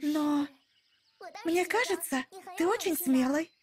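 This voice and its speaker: Woman as a very high-pitched gentle girl. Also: takes it slowly. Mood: gentle, calm. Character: gentle, earnest teenage girl. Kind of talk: animated series dub.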